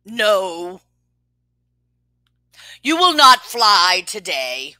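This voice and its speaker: A woman's voice: medium pitch, sing-song, sharp tone, no room sound, clean studio sound, pitched below the performer's own voice, exaggerated voice. A woman voicing a skeptical, fussy, dramatic elephant with emphatic delivery in a children's storybook read-aloud. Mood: flatly doubtful. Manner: emphatic, deliberate, melodramatic.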